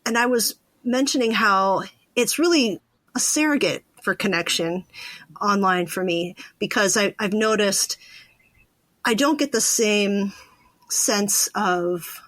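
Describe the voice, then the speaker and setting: Female interviewer, medium pitch, conversational and reflective, very dry room sound. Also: takes it slowly, a bit bright, dry recording. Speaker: podcast host and interviewer.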